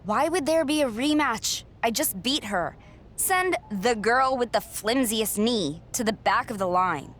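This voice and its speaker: A woman's voice: on the high side, expressive, unhurried, fairly bright tone, a little hiss or hum. An actress playing a young female champion wrestler in a video game, blunt, sarcastic and defiant. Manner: blunt, sardonic. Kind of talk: video game cutscene acting.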